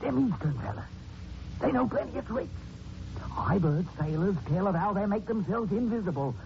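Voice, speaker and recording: An actor playing a superstitious, suspicious working-class London gossip with rough grammar in a 1940s radio drama. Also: male voice, sits high, expressive, normal speed. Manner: uneducated grammar. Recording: noisy muffled 1940s radio recording.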